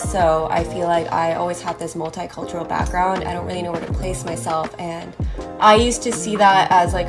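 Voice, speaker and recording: A young woman filmmaker from South Korea, talking casually about her work with frequent "like" fillers and light laughs. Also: fairly low pitch. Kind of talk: reflective interview talk. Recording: voice over loud music bed.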